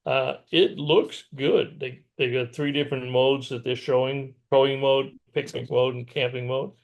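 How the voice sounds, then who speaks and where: A man with an American accent and a gravelly, creaky voice co-hosts a news show. He talks casually at a middling pitch, trading remarks like a regular presenter. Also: flat delivery, normal speed, muffled recording. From New England, USA.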